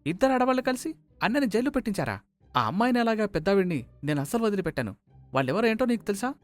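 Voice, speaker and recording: A man voicing a hot-headed, vengeful gangster in an animated moral story. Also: high voice, rapid-fire, faint music behind, pitched above the performer's own voice. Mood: outraged, vengeful.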